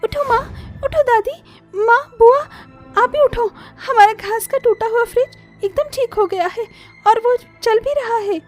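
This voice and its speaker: A woman voicing an eager, hopeful small child in an animated story. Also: very high voice, a little slow, soft background music, pitched above the performer's own voice. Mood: excited.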